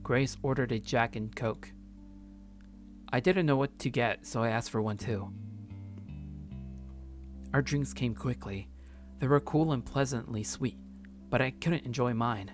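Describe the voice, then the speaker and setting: A man with a medium-pitched voice narrates a visual novel for a let's play. He reads casually and stumbles over the odd phrase, with game music clearly behind him.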